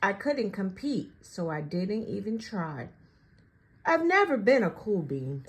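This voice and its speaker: A woman reading a children's picture book aloud in an animated, expressive and deliberate storytelling style. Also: medium pitch.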